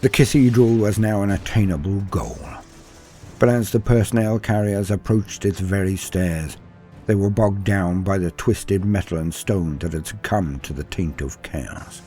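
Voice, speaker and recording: A man's voice: sits low, unhurried, dark tone. A man reading a scripted war story as narrator, in a dramatic storytelling style. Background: faint music.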